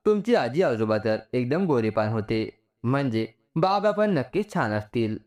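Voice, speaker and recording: A man voicing a tender, excited young pregnant woman in a story, chattering affectionately to her unborn babies. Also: medium pitch, normal speed, close to an everyday voice. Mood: tender excitement.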